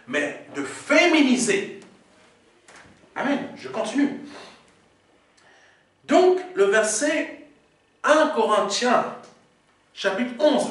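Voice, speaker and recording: A male pastor preaches in a church sanctuary with a high, lively voice that swings up and down. He teaches point by point, often pausing for "Amen". The sound echoes heavily around the hall, picked up from a distance. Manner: teaching, explaining Greek words. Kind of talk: sermon. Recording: very echoey hall, mic far off.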